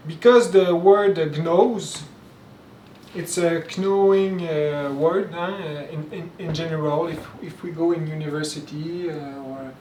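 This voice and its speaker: A man from Quebec, a native French speaker with a Québécois French accent, gives an informal spoken lecture with halting speech, many fillers and restarts. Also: fairly high pitch, steady tone, a little mellow, slight background noise. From Quebec, Canada. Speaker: spiritual teacher. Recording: roomy echo, mic at a distance, faint ambience.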